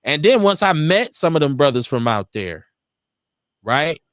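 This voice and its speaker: Animated, lively-pitched man in his forties. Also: a little slow, dry recording, studio quality. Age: 40s. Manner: animated, emphatic, restarts often. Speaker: podcast host. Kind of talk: casual chat.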